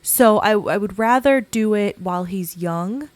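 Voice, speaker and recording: A woman hosting a relaxed gameplay video, her voice scratchy and croaky from a cold, chatting in a laid-back, self-deprecating way. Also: mid-range voice, measured pace, no room sound. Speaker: gaming channel host. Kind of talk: casual gameplay commentary.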